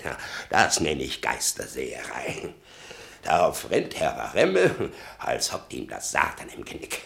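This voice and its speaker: An actor playing a bitter, sarcastic old father in a German radio play, rambling and muttering with a gravelly voice. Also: very deep voice, fairly bright tone, heavily acted. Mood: scornful. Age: older. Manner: rambling, sardonic, muttering and flaring up.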